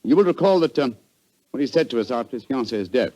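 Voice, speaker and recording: A male actor playing a courteous, commanding detective with an English accent and crisp formal delivery in 1940s radio drama style. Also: mid-range voice, animated, fast talker, dark tone, natural voice. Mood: reflective, explanatory. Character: brisk, courteous, commanding male detective, incisive and self-assured.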